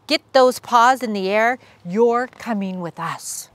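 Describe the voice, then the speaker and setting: A woman voicing a squeaky, blustering male mouse commander who barks orders at his troops. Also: slow pace, dry recording. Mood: gruff commanding. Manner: bossy, shouting orders.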